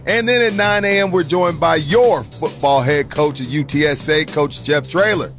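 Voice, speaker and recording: A man's voice: fairly high pitch, normal speed, a bit bright. Male radio promo announcer over music. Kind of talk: scripted sports radio promo.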